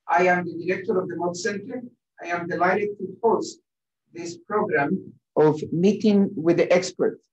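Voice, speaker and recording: A man hosting an expert interview, speaking haltingly with frequent fillers. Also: high-pitched, fairly even intonation, dull audio. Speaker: host, center director. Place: conference room.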